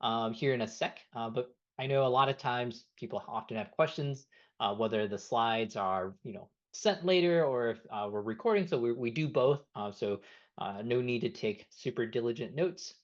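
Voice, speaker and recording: A man's voice: mid-range voice, moderate pace. Man hosting a webinar on dull, lo-fi audio. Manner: casual and filler-heavy, many uhs. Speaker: webinar host. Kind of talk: webinar introduction. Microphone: computer mic on a video call. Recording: dull, lo-fi video call audio.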